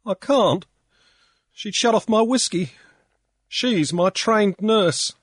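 A man voicing a self-pitying drunken young gentleman who blubbers and whines in a high, loud voice. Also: slow, dry recording, clean studio sound. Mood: blubbering. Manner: blubbering, sobbing and whining.

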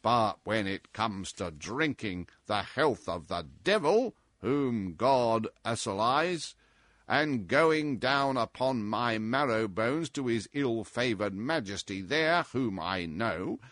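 A man voicing a boozy, irreverent sailor in a storybook, rambling defiantly in drawn-out nautical talk with mocking chuckles. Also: medium pitch, lively intonation, fairly bright tone, dry recording. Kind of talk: storybook character voice in an audiobook.

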